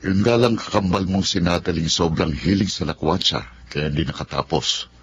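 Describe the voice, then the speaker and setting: A man's voice: low-pitched, moderate pace, fairly bright tone, soft background music. A Filipino man playing a stern but caring middle-aged father in a radio drama, giving admonishing fatherly counsel. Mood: caring, firm. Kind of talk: Tagalog radio drama acting. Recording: dull lo-fi radio drama, noisy ambience.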